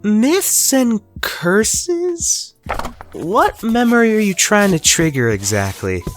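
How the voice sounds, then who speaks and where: A woman's voice: medium pitch, big pitch swings, takes it slowly, soft background music. A woman voicing a cheerful, teasing teenage boy sorcerer in a fan audio drama. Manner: playful, teasing.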